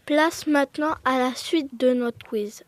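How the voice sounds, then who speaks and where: A young girl presents a scripted radio programme. Her voice is high and her reading is markedly slow. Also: no echo. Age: child.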